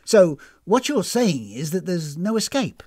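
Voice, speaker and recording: A woman voicing a suspicious, sharp-tongued woman traveller in a storybook, snappish and sardonic. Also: on the low side, big pitch swings, a little slow, slightly rough, no echo, clean studio sound, pitched above the performer's own voice, full character voice. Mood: dry, distrustful. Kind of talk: storybook character voice.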